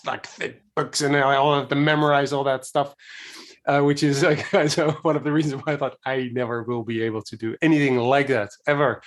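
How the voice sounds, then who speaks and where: Middle-aged male host, loose and meandering, thinks aloud while asking questions. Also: medium pitch. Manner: rambling, searching questions with many fillers. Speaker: interviewer, host. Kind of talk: conversational interview.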